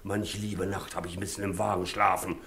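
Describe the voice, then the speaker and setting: An actor playing an ageing, stubborn, embittered stable hand with a rustic grumbling manner in a 1960s radio play. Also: male voice, deep voice, flat delivery, moderate pace, a bit bright. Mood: aggrieved, proud. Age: older.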